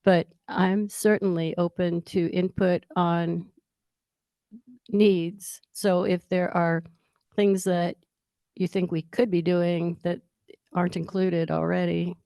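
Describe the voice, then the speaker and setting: A woman with a somewhat low voice explains her field research to colleagues. She speaks casually and pauses on fillers. The recording is dry, from a handheld mic in a meeting room. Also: flat delivery, gravelly, warm tone, dry recording. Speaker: plant researcher. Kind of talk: meeting discussion. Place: conference room.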